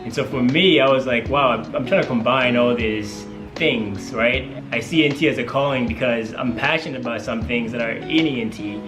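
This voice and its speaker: A man's voice: mid-range voice, fairly quick, hardly any pauses, echoey room, music in the background. A young man from Tanzania with a Tanzanian accent and a Swahili mother tongue, a medical student chatting eagerly with many fillers as an interview guest. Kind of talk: casual interview.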